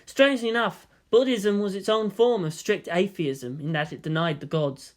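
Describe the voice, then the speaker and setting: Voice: mid-range voice, moderate pace, close to an everyday voice. A narrator voicing an exasperated, sarcastic teenage boy hero in a fantasy story. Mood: reflective.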